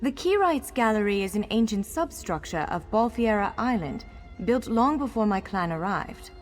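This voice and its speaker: A woman's voice: medium pitch, expressive, moderate pace, close to an everyday voice. A woman playing a courteous, wry elf scholar guiding someone in a video game, articulate and formal yet playful. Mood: wry, informative. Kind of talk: video game character dialogue. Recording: long hall reverb over music.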